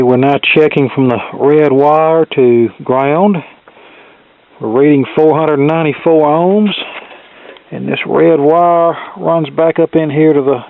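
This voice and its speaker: A man giving a hands-on repair tutorial as an instructor, explaining each step in a methodical, measured way. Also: a little high. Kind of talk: hands-on repair tutorial, talking through steps. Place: indoor cluttered electronics workbench. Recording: thin phone-like band-limited sound.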